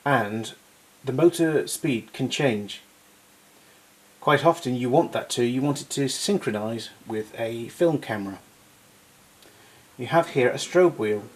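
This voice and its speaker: Man giving a hesitant technical walkthrough. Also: medium pitch. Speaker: vintage tape recorder collector presenting. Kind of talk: unscripted demonstration and explanation.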